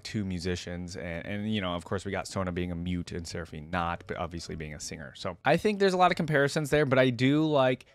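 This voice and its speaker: Low-voiced young man, dry close mic. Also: no room sound. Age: young adult. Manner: casual, chatty asides. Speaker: reaction video host. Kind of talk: reading lore aloud with casual commentary. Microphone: close desktop microphone.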